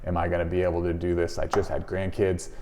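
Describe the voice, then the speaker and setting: A man with a low, deep voice gives an informal lesson in a conversational, explanatory way. He sounds like a practitioner coaching colleagues in everyday language. The recording is heavily reverberant, with the room ringing around his words. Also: completely flat delivery, quick pace, darkish tone. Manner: conversational, explanatory, voices example questions and replies. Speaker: chiropractor presenting a business advice video. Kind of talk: informal instructional talk to camera.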